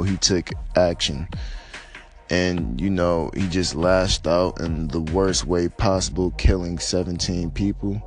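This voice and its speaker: An American man with a slightly raspy voice talking casually and unscripted, in a hesitant, laid-back, rambling way. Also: low voice, darkish tone. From United States. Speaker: podcaster or vlogger giving personal commentary. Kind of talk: casual unscripted monologue on news and life.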